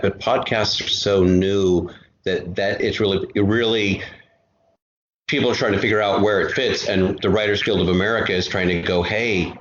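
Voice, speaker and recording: A man talking as a podcast guest in a casual, emphatic way, repeating words for stress. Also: low voice. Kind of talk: casual interview chat. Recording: echoey room, dull lo-fi, faint noise.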